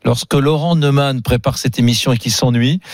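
A man's voice: mid-range voice, brisk, dry recording, studio quality. A man co-hosting a radio talk show, teasing and interrupting with mock indignation. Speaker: radio co-host. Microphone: studio mic.

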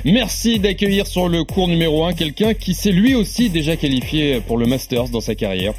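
A man hosting a tennis podcast, introducing guests with quick joking asides. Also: fairly high pitch, expressive, soft background music. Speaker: podcast host. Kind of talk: casual sports podcast chat.

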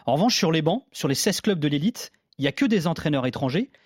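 A man's voice: fairly high pitch, expressive, quick pace, slightly rough, no echo, clean studio sound. A man asking questions as a sports interviewer in a broadcast interview.

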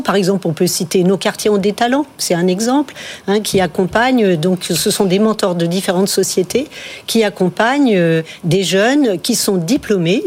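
A woman speaking as an interview guest, explaining mentoring in a steady, clear way. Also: medium pitch.

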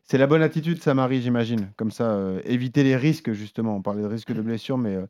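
A man's voice: mid-range voice, fast talker. Easygoing male sports radio host. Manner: lively, prompting, informal. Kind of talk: sports radio talk show.

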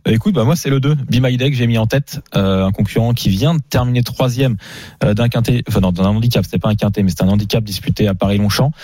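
A man's voice: on the low side, fast talker, mellow, no room sound. A man giving confident racing tips as a pundit on a radio panel.

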